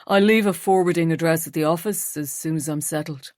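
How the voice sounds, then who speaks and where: A woman reading an audiobook, voicing a man in his forties who calmly and apologetically leaves his partner. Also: low voice, brisk, no echo, clean studio sound, pitched below the performer's own voice, lightly acted. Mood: detached.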